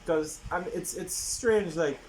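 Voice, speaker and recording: A man's voice: on the high side, measured pace, a little mellow, a little hiss or hum, low-quality recording. A young man chatting casually as a podcast co-host, repeating words for emphasis. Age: young adult. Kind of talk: casual chat.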